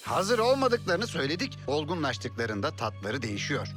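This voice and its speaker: An actor playing a kindly, earnest orchard farmer who explains things in a folksy way in a children's cartoon. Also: male voice, fairly high pitch, huge pitch swings, moderate pace, a bit bright. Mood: concerned. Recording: music behind the dialogue.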